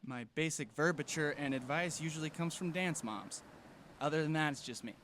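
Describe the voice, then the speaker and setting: A man's voice: a little high, normal speed, a bit bright, slight background noise, close to an everyday voice. A man playing a supportive, jokey teenage boy, an earnest best friend in an audio drama. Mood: joking. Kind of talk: fiction podcast audio drama acting.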